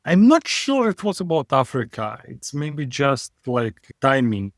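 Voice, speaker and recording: A man's voice: medium pitch, very animated, dry recording, clean studio sound. Wry man with English. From Israel. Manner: frank, wry, halting non-native phrasing. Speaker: company CEO guest. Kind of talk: casual interview talk.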